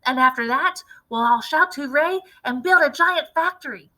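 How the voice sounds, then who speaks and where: A woman voicing a wildly excited, impatient, domineering farmer father in a storybook read-aloud, exclamatory and emphatic. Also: high voice, normal speed, voice pushed up, low-quality recording. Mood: eager, insistent.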